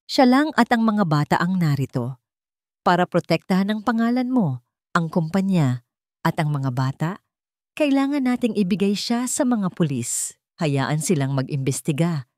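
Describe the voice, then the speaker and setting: A woman with a Filipino accent reads a scripted dramatic story in a measured storytelling manner. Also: on the low side, very animated, a little mellow, dry recording, clean studio sound. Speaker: story narrator. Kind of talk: scripted dramatic narration of a Tagalog love story.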